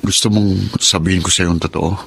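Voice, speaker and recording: A man's voice: deep voice, steady tone, brisk, vocal fry, a bit bright. A man playing a guilty, withdrawn young boyfriend in a Filipino romantic radio drama, subdued and earnest. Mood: guilty, downcast. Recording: faint background noise.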